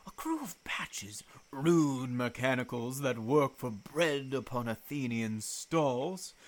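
A woman playing a mischievous, excitable fairy sprite, telling gleeful tales in theatrical verse for a radio play. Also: extremely low pitch, full character voice. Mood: gleeful boasting. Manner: dramatic, gleeful storytelling.

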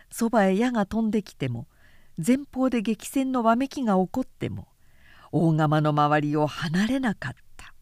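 A woman narrates a historical novel in a formal, measured way. Her reading is unhurried, with pitch that moves widely from phrase to phrase. The sound is dry and close. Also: a little mellow, no echo. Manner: measured, formal storytelling delivery.

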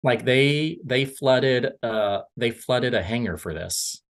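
A man co-hosting a film podcast, chatting casually in a halting, rambling way and often correcting himself. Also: medium pitch, animated.

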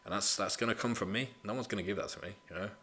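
A man's voice: fairly low pitch, rapid-fire, pushed and bright. A man, hosting a casual solo podcast, blunt and motivational, swearing freely and leaning on "you know". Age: 20s. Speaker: podcast host.

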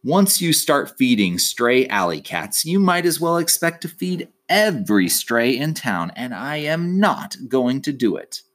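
A man's voice: medium pitch, big pitch swings, a little slow, hardly any pauses, fairly bright tone, voice pushed up. A man voicing a strict, indignant mother in a storybook, scolding with heavy emphasis. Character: strict, angry mother who hates cats.

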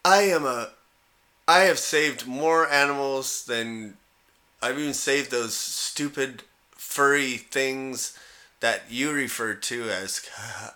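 A man's voice: mid-range voice, takes it slowly, pushed and bright. A man co-hosting a podcast with blunt, salty, joking anecdotes in casual banter. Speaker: podcast co-host.

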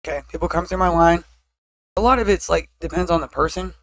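A woman with a deeper voice chatting casually as a podcast co-host, blunt and often laughing at herself. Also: quick pace, no echo. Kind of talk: casual chat.

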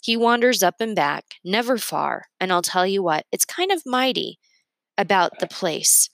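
Woman, storybook narrator, animated pitch, expressive reading, dry close recording. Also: measured pace, creaky, dry recording. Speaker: read-aloud narrator.

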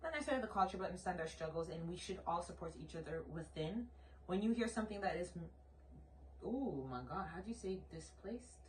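A blunt, outspoken woman in her late twenties from Canada, speaking with a fairly low voice in a casual podcast chat. She drives her points home with plenty of "like"s. The sound is roomy, with the mic set back from her. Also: expressive, quick pace, recorded from a distance, a little hiss or hum. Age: late 20s. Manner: emphatic, blunt, outspoken, with lots of filler "like". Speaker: podcast co-host. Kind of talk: casual conversational discussion.

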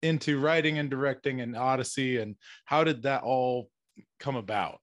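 A man's voice: mid-range voice, moderate pace, no room sound, clean studio sound. A man interviewing casually, with hesitant and meandering phrasing.

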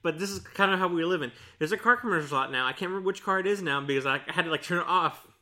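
An American man on a casual podcast, with a voice a touch higher than most men's and a bright, forward edge. He tells stories with plenty of energy, slips into playful impressions and laughs easily. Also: racing. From Mexican family, grew up in the US. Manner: animated storyteller, acts out quotes, laughs often. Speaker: podcast co-host. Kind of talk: casual chat. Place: home podcast setup in Arizona.

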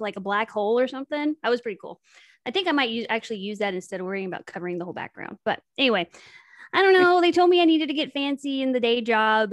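Woman podcast co-host, high light voice, rambling, self-mocking, dry close mic. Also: no room sound. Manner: chatty, self-deprecating, rambling with fillers. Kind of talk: casual chat and guest introduction. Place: home studio in North Texas. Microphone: new large close microphone.

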